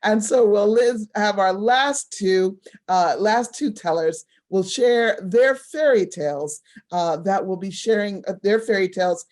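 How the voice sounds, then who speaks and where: Slow-paced woman hosting, effusive. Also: mid-range voice, darkish tone. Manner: effusive, appreciative. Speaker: host of a storytelling showcase. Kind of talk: casual live event hosting.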